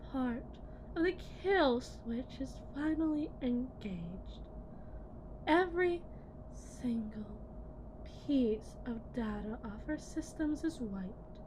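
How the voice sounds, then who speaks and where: A woman playing a cold, condescending lead technician in an ASMR audio roleplay, speaking deliberately and often dropping toward a breathy whisper. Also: sits high, darkish tone, a little hiss or hum, poor audio. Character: cold, condescending female lead technician at shady tech company.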